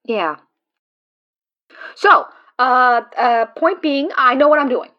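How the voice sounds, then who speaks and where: A woman's voice: high voice, lively intonation, slow pace, muffled recording. A woman playing a brash, teasing and loyal friend who reassures and boasts. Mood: chatty. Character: Rizara, confident loyal friend and card gamer. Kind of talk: fan audio drama acting.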